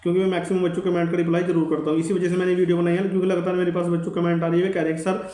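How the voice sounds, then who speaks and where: A man's voice: high voice, little pitch movement, very fast, dark tone. A man giving an informal advisory update to viewers, insistent, earnest and repetitive. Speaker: exam update YouTuber. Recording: echoey room.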